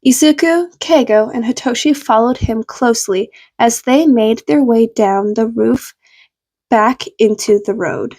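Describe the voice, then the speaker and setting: A woman's voice: fairly high pitch, unhurried, a little mellow. A woman narrating a story from a script. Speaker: podfic narrator.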